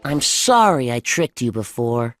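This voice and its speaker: A woman voicing a clever male cat, a cool and wry trickster, in a children's animated fairy tale. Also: extremely low pitch, a little slow, no room sound, soft background music, full character voice. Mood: coaxing. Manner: coaxing, feigned innocence, wry.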